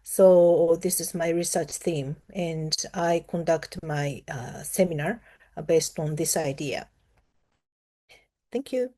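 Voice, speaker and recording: A woman's voice: low-pitched, takes it slowly, warm tone. A woman professor who grew up in Japan, giving a hesitant self-introduction at an online briefing, with many fillers and restarts. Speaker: professor and former museum curator.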